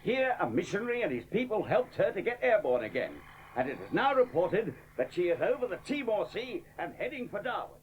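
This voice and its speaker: An actor playing an excited male radio news announcer reading a bulletin. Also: high-pitched, brisk, slight background noise. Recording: tinny old radio broadcast.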